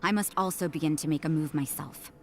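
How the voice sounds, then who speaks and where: An actress playing a cool, jaded nun in a game, blunt and detached with a deadpan delivery. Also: female voice, sits low, brisk, background noise. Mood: cool, serious. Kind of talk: anime-style game voice acting. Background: game music.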